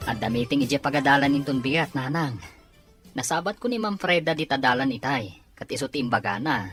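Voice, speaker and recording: A woman's voice: very deep voice, expressive, brisk, faint music behind. A woman playing a busy, responsible grown son in an audio drama, with concern. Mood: matter-of-fact. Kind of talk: Ilocano audio drama acting.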